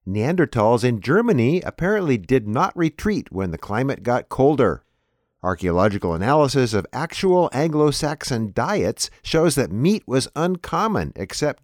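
A man's voice: medium pitch, darkish tone, no room sound, clean studio sound. A man delivering scripted announcements as the presenter of a news show.

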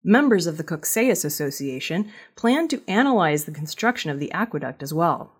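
A woman reading scripted news narration as a newsreader, with a slightly husky voice. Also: deep voice, sing-song, a little fast. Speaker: news reader.

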